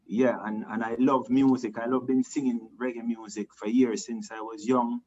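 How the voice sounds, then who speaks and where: A man from Jamaica with a Jamaican accent, a reggae singer answering interview questions in a relaxed, rambling way, full of restarts and "you know". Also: mid-range voice, normal speed, highs cut off. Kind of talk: casual interview talk.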